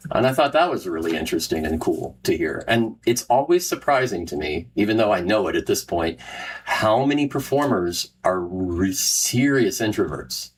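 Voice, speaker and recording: Middle-aged guy with a deep voice, rambly chatty host, laughs a lot, a little background hiss. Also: animated, normal speed, low-quality recording. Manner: rambling and self-deprecating, laughs often, many restarts. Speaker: podcast host and Renaissance fair performer. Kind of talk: casual solo chat with live listeners.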